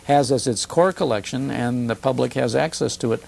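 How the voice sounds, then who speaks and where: An older man with a gravelly voice, explaining and recounting things conversationally as a broadcaster being interviewed. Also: mid-range voice, moderate pace, a little mellow. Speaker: radio show broadcaster, interview guest. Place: television studio.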